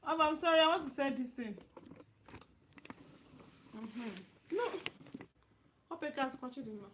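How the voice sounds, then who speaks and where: A woman's voice: sits high, measured pace, fairly bright tone, slight background noise. A Nigerian woman hosting a casual live chat, speaking directly and repeating her phrases for emphasis. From Anambra State, Nigeria. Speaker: livestream host giving relationship advice. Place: dim room at home.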